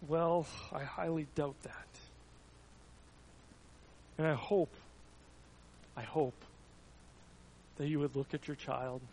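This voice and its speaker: A man's voice: on the high side, darkish tone, a little hiss or hum, rough recording. A man preaching a sermon, telling everyday stories as illustrations, with frequent stumbling restarts.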